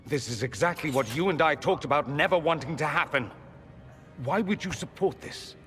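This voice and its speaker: A man's voice: on the high side, normal speed, a bit bright, long reverb tail, faint music behind, close to an everyday voice. An actor playing a witty, flamboyant male mage in a video game, with a wry, theatrical and articulate delivery. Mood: dismayed. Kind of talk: video game character acting.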